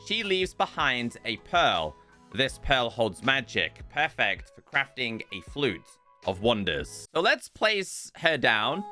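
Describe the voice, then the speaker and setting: A man giving game showcase commentary as a video host, in an expressive, emphatic presenter's delivery. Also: medium pitch. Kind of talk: game showcase commentary, part scripted narration, part live reaction. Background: music.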